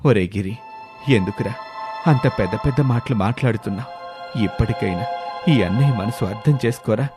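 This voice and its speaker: A man's voice: lowish voice, normal speed, long pauses, voice pushed down, natural voice. A man voicing a kindly, forgiving older uncle in a family story, earnest and affectionate, with music behind. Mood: hurt, pleading. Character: kindly hurt elder brother, older village uncle, gentle and forgiving.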